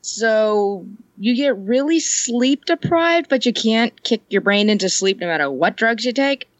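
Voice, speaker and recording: A woman's voice: on the high side, expressive, measured pace, no room sound, dull audio. A woman chatting casually on a podcast, rambling and joking, laughing often. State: ill. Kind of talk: casual chat.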